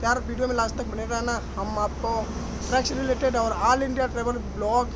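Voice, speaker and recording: A man's voice: very high voice, flat delivery, a little fast. A young man who drives trucks, chatting casually to his phone camera from the cab and the roadside, often saying "guys, yaar". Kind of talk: casual vlog narration to camera. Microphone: phone held at arm's length. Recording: noisy roadside phone recording. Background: vehicle and road noise.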